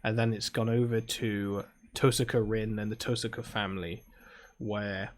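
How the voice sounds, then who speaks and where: A man's voice: lowish voice, flat delivery, moderate pace, a little hiss or hum. British man chatting over a game. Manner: chatty, self-mocking asides. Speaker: channel host playing a visual novel. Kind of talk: casual gameplay commentary.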